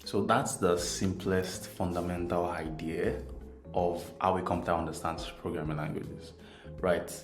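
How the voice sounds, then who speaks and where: A man's voice: sits low, level tone, unhurried. A man with a Nigerian accent, a native Yoruba speaker, gives an informal, patient programming tutorial, keeping things simple and often checking in with "right?". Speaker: programming instructor. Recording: roomy echo, faint music underneath.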